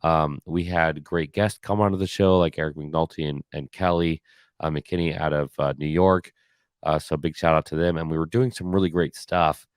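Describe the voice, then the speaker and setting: Man, deep voice, raspy and a bit hoarse, halting casual talk, dry close sound. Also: steady tone, dry recording. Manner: halting, many fillers and restarts. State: slightly hoarse from cheering. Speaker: podcast host. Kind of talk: solo casual year-in-review talk.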